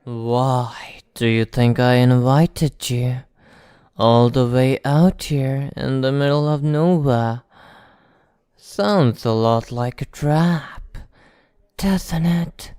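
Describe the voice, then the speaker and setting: Slow, smooth-voiced sly male vampire played by a man. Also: mid-range voice, a little mellow, no room sound, clean studio sound. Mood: teasing, mocking. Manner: slow, deliberate, mocking, condescending. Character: sly, teasing male vampire posing as small-town informant. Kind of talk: ASMR roleplay monologue to the listener.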